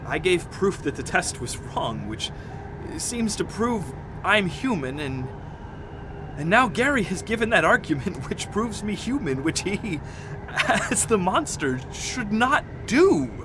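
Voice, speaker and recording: Radio drama: distraught expedition doctor, slow and halting, cracking up with fear, heavy rumble behind. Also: male voice, big pitch swings, heavily acted. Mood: unravelling. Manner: halting, repeating words, laughing hysterically.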